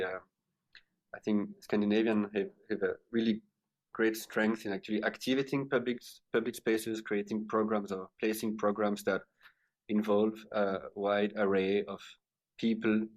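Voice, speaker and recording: A man in his thirties from French-speaking Switzerland talks through his answers slowly and thoughtfully, pausing often with fillers. His voice sits fairly low. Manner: hesitant, thoughtful, many fillers. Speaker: architect, guest. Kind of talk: podcast interview answers.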